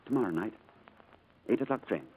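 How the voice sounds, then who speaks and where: An actor playing a gentle, excitable new father in 1940s radio style, eager and prone to stammering. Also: fairly low pitch, fairly quick, phone-quality sound, lightly acted. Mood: excited, tender. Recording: noisy old radio recording.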